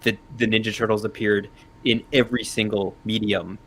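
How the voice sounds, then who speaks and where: A man's voice: on the low side, level tone, moderate pace, fairly bright tone, a little hiss or hum, poor audio. A man in his thirties giving analytical, unscripted panel commentary in a hesitant, thinking-aloud way. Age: 30s.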